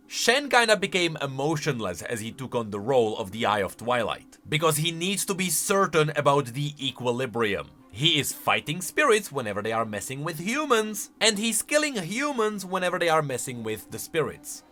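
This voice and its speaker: A man's voice: fairly high pitch, pushed and bright. A man hosting a video of casual, explanatory game lore commentary in an emphatic, conversational way. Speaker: video host.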